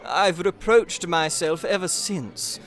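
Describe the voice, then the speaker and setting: An actor playing a mischievous young Victorian medical student in audio theatre, with hearty, exclamatory banter. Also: male voice, high-pitched, slow, a bit bright, soft background music. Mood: chatty.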